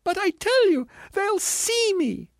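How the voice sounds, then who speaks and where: A shrill, whining female ghost in a storybook, acted out by a man. The voice is pitched very high and swoops about in panicked indignation, vain and painfully self-conscious. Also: big pitch swings, normal speed, no room sound, clean studio sound, exaggerated voice. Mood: anguished. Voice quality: squealing, shrill. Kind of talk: audiobook character voice.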